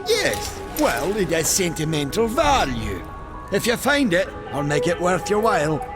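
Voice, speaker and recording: An actor playing a good-natured young giant blacksmith in a video game, folksy and earnest. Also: male voice, high voice, big pitch swings, a little slow, exaggerated voice. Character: eager good-natured young Maker smith, brawny giant. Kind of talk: video game character acting. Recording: game music behind.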